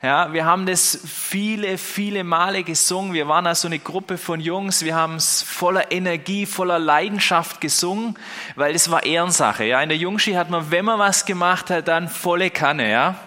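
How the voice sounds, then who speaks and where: Bright, forceful young preacher, man. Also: high-pitched, normal speed. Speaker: pastor. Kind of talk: sermon. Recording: long hall reverb.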